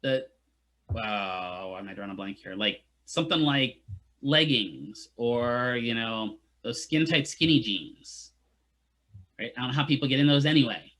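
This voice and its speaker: A man's voice: medium pitch, expressive, measured pace, fairly bright tone. A man teaching physical therapy students in a casual lecture, often checking in with "right?" and making joking asides. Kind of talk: classroom lecture.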